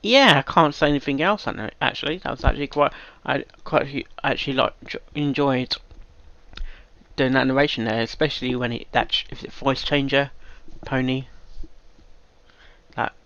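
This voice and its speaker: A man hosting a reaction video, giving casual off-the-cuff commentary in a halting, rambling way and often repeating himself. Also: medium pitch, fairly bright tone, no echo, muffled recording.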